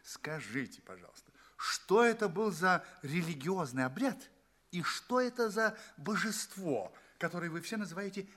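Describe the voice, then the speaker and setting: A bewildered male space traveller in an audio play, anxious and courteous. His bright, fairly high voice swings widely as he narrates and asks halting questions. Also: expressive. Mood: polite, curious.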